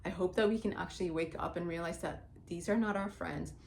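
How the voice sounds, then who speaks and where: Somali-born woman in her forties, Somali first language, lowish voice, blunt and outspoken, faint room noise. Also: a little fast, vocal fry, darkish tone. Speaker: vlogger. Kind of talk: casual talk to camera.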